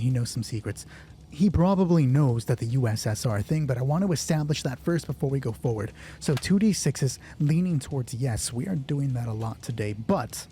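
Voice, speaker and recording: A man narrating a solo tabletop roleplay and thinking aloud in a casual, sweary way, with a husky voice. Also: medium pitch, dark tone, slight background noise. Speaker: solo roleplay game master. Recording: background music under the voice. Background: music.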